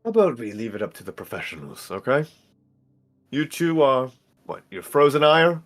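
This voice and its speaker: A man's voice: medium pitch, sing-song, normal speed. A man voicing a brash, dismissive university academic with a condescending, theatrical manner in a tabletop game.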